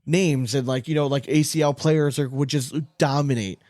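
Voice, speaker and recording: A man's voice: medium pitch, steady tone, moderate pace, a little mellow, no room sound, clean studio sound. A man co-hosting a sports podcast in casual banter, with a blunt, slangy manner. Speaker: podcast co-host.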